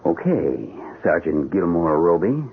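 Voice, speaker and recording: Raspy, pragmatic lawyer on old radio. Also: male voice, sits low, big pitch swings, unhurried, heavily acted. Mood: pragmatic, persuasive. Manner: persuasive, hedging, worldly. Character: pragmatic, hard-nosed defense lawyer, urging compromise. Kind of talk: radio drama acting. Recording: muffled narrow-band radio drama recording.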